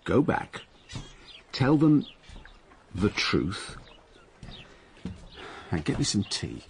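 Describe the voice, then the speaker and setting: Radio drama lead: imperious, sardonic diarist of stricken London, deep voice, very lively intonation. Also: male voice, very slow, slight background noise, heavily acted. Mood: testy, needling. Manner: sardonic, self-aware, theatrical asides. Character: wry, imperious male narrator-diarist of plague-stricken London. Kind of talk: radio drama narration and acting.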